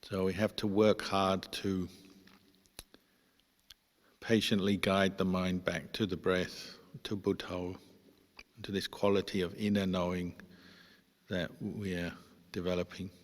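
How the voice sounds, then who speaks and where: A man, a Buddhist monk, guiding a meditation with measured instructions and pauses between short phrases. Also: low voice, flat delivery. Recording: long hall-like reverb.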